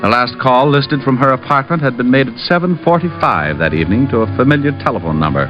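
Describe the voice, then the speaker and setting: A man's voice: mid-range voice, animated, fast, natural voice. A man playing a wry, easygoing private detective, wisecracking and narrating in 1950s radio drama style. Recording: dull old radio recording, music behind.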